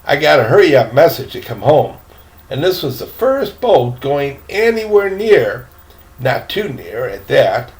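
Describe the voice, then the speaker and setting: Grandpa doing a polite rich kid in a storybook, frank and matter of fact, slow, pitch moves around. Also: male voice, sing-song, darkish tone. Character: frank, polite well-off Southern boy from Mexico.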